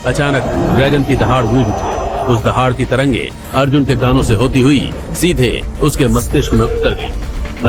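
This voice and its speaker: A man narrating a dramatic audio story in a scripted storytelling style. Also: mid-range voice. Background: background music.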